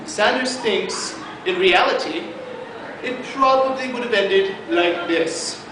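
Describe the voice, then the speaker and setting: A man's voice: high-pitched, slow. A man narrating a student class project in a matter-of-fact, explanatory way. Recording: very roomy distant noisy home video.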